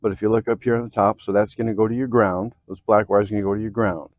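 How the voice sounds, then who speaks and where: An American man giving a casual, folksy how-to, often checking in with a quick okay or all right. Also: on the low side, level tone, dry recording, narrow, tinny audio. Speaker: host of an off-road vehicle DIY channel. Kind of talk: casual how-to chat to camera.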